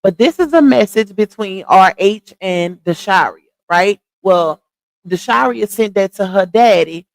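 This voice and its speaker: A woman hosting a casual livestream, chatting with viewers, greeting them by name and calling them y'all, her voice starting to go hoarse. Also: medium pitch, lively intonation, a little slow, dry recording. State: voice going hoarse. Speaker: livestream host.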